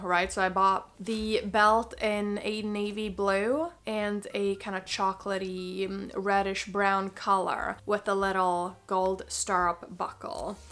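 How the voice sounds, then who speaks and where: Woman fashion vlogger, mid-pitched and slightly stuffed up with a cold; conversational, emphatic, casual review delivery. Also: a bit bright. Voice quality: slightly nasal. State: has a cold. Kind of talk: casual chatty review to camera.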